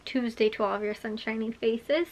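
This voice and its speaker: A young woman chatting casually in a daily vlog, rambling with lots of fillers, her voice a little rough after coughing. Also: fairly high pitch, fairly even intonation, moderate pace. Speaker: vlogger. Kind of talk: casual chat to camera.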